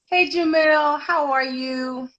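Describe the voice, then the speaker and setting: A woman from Zimbabwe and Kenya, an interview guest telling her own story conversationally, reflectively, pausing to reword as she goes. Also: sits high, fairly even intonation, a bit bright, highs cut off. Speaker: interview guest, actor and director. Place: live video call.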